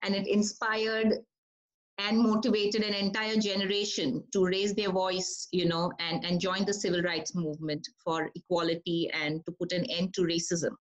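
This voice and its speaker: A woman's voice: lowish voice, little pitch movement, normal speed, muffled recording. A woman leading a creative writing workshop, talking conversationally and putting questions to the group. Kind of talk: online workshop presentation.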